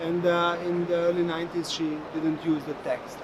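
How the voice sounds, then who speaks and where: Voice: medium pitch, flat delivery, normal speed. Gallerist, noisy hall. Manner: storytelling, explanatory. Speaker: gallerist presenting an artist's work. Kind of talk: informal spoken explanation at an art fair. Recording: noisy fair hall background. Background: ambient fair hall noise.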